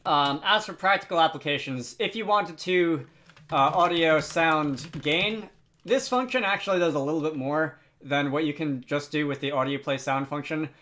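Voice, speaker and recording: A man narrating an unscripted software tutorial, thinking aloud with frequent hesitant pauses. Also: a little high. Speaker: tutorial host.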